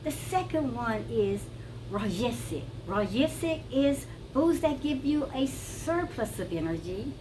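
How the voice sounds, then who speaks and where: A woman's voice: fairly high pitch, sing-song, measured pace. A woman with a Brazilian accent, talking to viewers in a casual, emphatic teaching style, repeating words for stress. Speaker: wellness vlogger. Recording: noticeable background noise.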